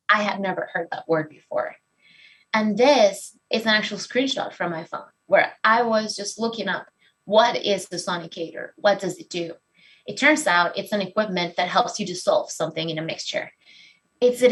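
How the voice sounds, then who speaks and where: A woman's voice: mid-range voice, poor audio. Woman telling her own story. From Venezuela. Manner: candid and expressive, self-deprecating. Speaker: speaker giving a talk. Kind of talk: personal story talk.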